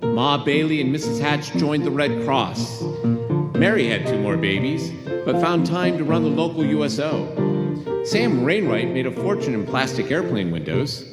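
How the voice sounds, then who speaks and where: A man's voice: on the high side, big pitch swings, normal speed. A man acting as the storytelling narrator of a radio play, delivering scripted dramatic narration. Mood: stirring. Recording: echoey hall, loud guitar behind. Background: plucked guitar music.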